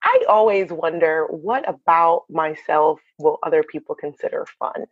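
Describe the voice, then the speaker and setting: A woman's voice: low voice, unhurried, no echo, phone-quality sound. A woman with an American accent, talking casually and playfully as a guest in an interview. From born and spent early childhood in Jamaica, later moved to US. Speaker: podcast guest.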